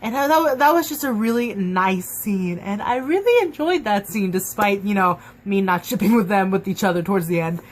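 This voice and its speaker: A woman's voice: medium pitch, lively intonation, normal speed, few pauses. Gushing young woman reviewing a book. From Mexican and Cuban heritage. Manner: gushing, chatty, lots of fillers and restarts. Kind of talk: casual book review vlog.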